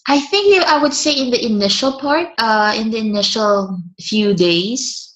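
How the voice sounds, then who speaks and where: A woman's voice: fairly high pitch, normal speed, a bit bright. A woman, a guest speaking hesitantly and often restarting her words. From Philippines. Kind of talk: conversational interview.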